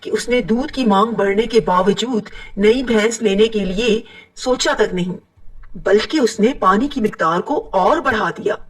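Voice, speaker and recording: A woman narrating an animated moral story in a steady, moralizing telling. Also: mid-range voice, distant mic, low-quality recording. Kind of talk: scripted cartoon narration.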